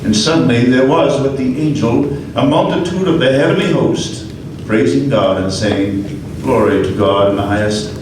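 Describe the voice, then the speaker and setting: A man reading a scripture passage in a measured, reverent way for a live radio play. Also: mid-range voice. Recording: echoey room from far off, noisy.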